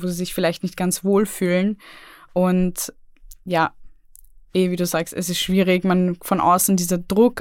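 A young woman, a guest in an interview, speaking earnestly and haltingly with many fillers. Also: lowish voice, darkish tone, no room sound, clean studio sound. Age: young adult. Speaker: guest, youth project member. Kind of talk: conversational interview.

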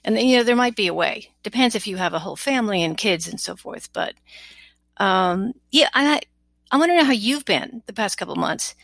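A woman's voice: medium pitch, animated, a little fast, vocal fry, fairly bright tone, no echo. A woman interviewing a guest in a conversational way, feeling out her questions as she thinks aloud. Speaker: podcast interviewer.

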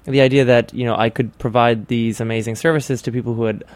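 A man's voice: medium pitch, steady tone, fast, dry recording. Young male American guest, conversational, restarts sentences as he goes, dry studio-close sound. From Hawaii, United States. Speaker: interview guest, interior designer. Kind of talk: casual interview chat.

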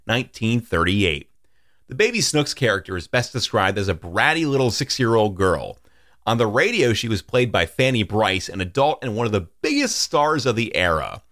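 A man hosting a podcast, reading a measured scripted introduction in a studio. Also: lowish voice, a bit bright, dry recording. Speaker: podcast host.

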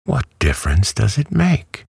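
A man reading a storybook who voices a prim, easily offended female yellow hen. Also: lowish voice, wildly swooping pitch, measured pace, gravelly, slightly rough, no room sound, studio quality, pitched above the performer's own voice, heavily acted. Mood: indignant.

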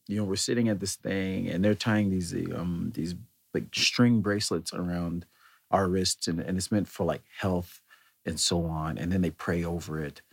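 A man casually telling a rambling personal anecdote, often correcting himself and chuckling as he goes. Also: low voice. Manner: rambling, self-correcting storyteller.

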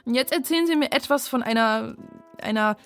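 A woman's voice: fairly high pitch, a little fast, soft background music, lightly acted. An actress playing a stressed, snappy, sarcastic policewoman in a German children's audio drama. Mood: incredulous, irritated. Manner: snappy, incredulous.

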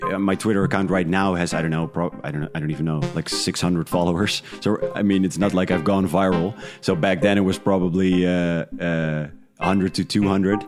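A man's voice: deep voice, steady tone, brisk, hardly any pauses, music in the background. A man from the Netherlands, a podcast creator, talking casually and a little hesitantly as an interview guest.

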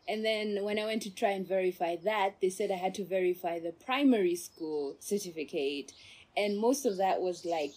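A woman's voice: mid-range voice, dark tone, breathy edge. A young woman from Kenya with a Botswana English accent, telling her story in a casual interview and laughing often. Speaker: doctor interviewee.

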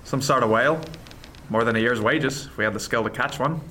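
An actor playing a kindly, calm first mate of a sailing ship in a period radio drama, with a reassuring, understated manner. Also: male voice, medium pitch, fairly quick, slight background noise, close to an everyday voice. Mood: wry, calm.

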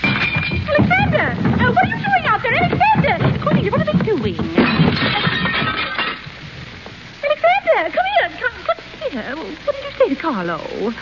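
A woman's voice: huge pitch swings, moderate pace, exaggerated voice. An actress playing a flighty, scatterbrained wealthy mother in a 1930s radio play, fluttering and giggly. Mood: flustered. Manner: fluttery, giggly, shrill exclamations. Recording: muffled old radio broadcast with hiss.

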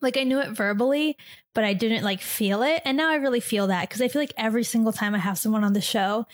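Brief: young woman, podcast host, rambling and chatty, slightly high voice. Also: fast. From born in Chile, raised in the US. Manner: chatty, rambling, many "like"s and restarts. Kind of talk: casual solo podcast answering a listener.

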